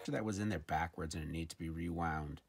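A man's voice: low voice, brisk, creaky, breathy edge. A man giving a casual hands-on product demonstration, informal and a little hesitant, as if thinking aloud. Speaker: presenter of a gadget review. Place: indoors at a tabletop. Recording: faint background noise with handling clicks.

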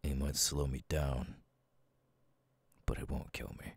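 A man playing a stoic, battle-hardened military captain with a husky, breathy voice in a dramatic ASMR audio roleplay, speaking in terse, deliberate phrases. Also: very deep voice, flat delivery, no room sound, full character voice. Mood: steady, reassuring. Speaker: voice actor, ASMR artist.

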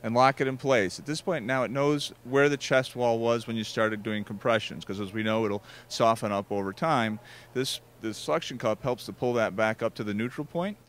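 A man's voice: medium pitch, moderate pace, a bit bright. A man working as a product representative, giving a live step-by-step demonstration at a trade show booth. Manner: explanatory, step-by-step instruction.

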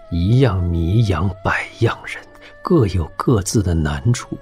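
A low, weary old warlord. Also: male voice, darkish tone. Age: older. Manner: slow, earnest fatherly counsel. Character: weary, dying old warlord father, blunt and tender. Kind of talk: audio drama acting.

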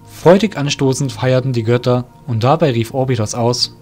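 Casting: male storyteller for fantasy radio play, mid-range voice, soft music underneath. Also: mid-range voice, normal speed. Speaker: narrator. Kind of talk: scripted audio drama narration.

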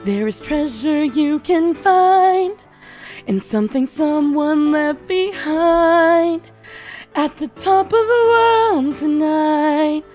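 High-voiced gal streamer, bit hoarse, slow and giggly, thanking chat, faint piano under it. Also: female voice. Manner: bubbly, giggly, thanking viewers. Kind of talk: singing a ballad then casual chat with viewers. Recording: faint piano backing music. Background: soft piano backing during the song.

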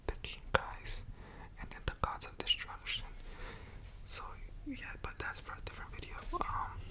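A young man speaking in a breathy, unvoiced whisper, rambling informally and slangily in a casual ASMR style, close to a microphone in a home room. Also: poor audio. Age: young adult. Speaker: ASMR video creator reviewing a show. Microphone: large USB microphone very close to the mouth.

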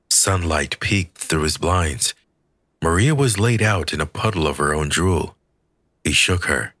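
A man narrating a scripted work of fiction as an audiobook reader, with a husky voice. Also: low-pitched, a bit bright, dry recording, studio quality.

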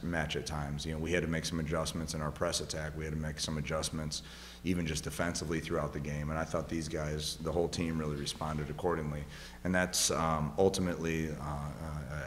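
A man in his thirties, a head coach, answering postgame questions at a press conference, emphatic and rising on his key points, into a table mic. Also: a bass voice. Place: press room, seated at a table. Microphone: table microphone on a stand. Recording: reverberant room with faint hum.

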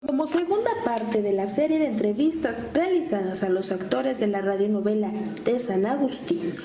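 Higher-voiced Mexican woman, echoey sound. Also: animated, normal speed. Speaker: interviewer, reporter. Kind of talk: interview questions and presenter narration. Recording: dull, echoey, faint noise.

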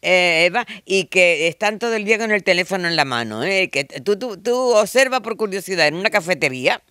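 A woman's voice: on the low side, expressive, fairly quick, fairly bright tone, dry recording, clean studio sound. An elderly woman talks as an interview guest, digressing and repeating phrases for emphasis. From Marbella, southern Spain. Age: 70s or older. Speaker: interview guest, historian and novelist. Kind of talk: conversational reminiscing in an interview. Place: shaded garden patio, outdoors. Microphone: handheld reporter mic held close.

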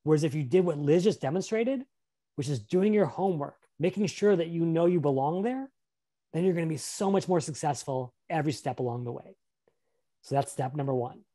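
Man, career workshop presenter on a webcam, lively pitch, mellow tone, upbeat and encouraging. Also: a little fast. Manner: upbeat, encouraging, asks questions. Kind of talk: live webinar presentation. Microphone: webcam on a video call.

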